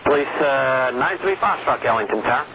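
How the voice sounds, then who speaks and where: A man's voice: mid-range voice, expressive, a little fast. A man working as an airport tower controller, giving clipped, procedural air traffic instructions over radio. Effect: radio transmission. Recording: very tinny, clearly noisy aviation radio.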